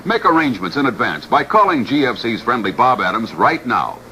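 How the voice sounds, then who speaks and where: Male radio commercial announcer, American accent, animated pitch, bright forceful tone, old broadcast with faint noise. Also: brisk, muffled recording. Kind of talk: scripted 1960s radio advertisement read.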